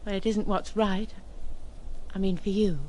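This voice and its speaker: A woman's voice: mid-range voice, fairly even intonation, a little slow, mellow, slight background noise, lightly acted. An actress playing an uneasy, self-effacing young woman in an audio drama, speaking in hesitant, questioning phrases. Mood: hurt, timid.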